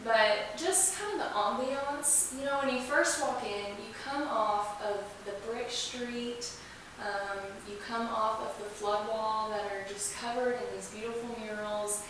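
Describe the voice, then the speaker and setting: A woman with a higher voice talks casually as an interview guest, stressing points with enthusiasm. The room is strongly reverberant and she is picked up from a distance, with faint hiss. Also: a little slow. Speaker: interview guest, museum representative. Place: indoors in an old museum building.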